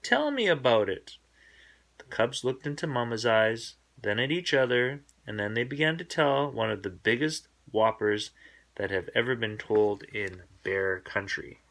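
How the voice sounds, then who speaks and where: Male storybook narrator, bright forward voice, expressive bedtime read-aloud for young children. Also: lowish voice, normal speed.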